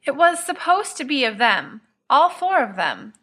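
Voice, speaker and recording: Bright-voiced woman narrating fiction. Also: high voice, sing-song, unhurried. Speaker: podfic reader, narrator.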